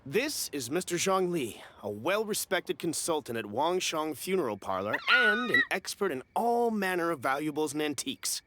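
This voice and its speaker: A man's voice: fairly high pitch, very animated, moderate pace, hardly any pauses, very noisy. A man voicing a fussy, polite, fawning merchant in a video game, with hesitant turns of phrase. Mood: eager.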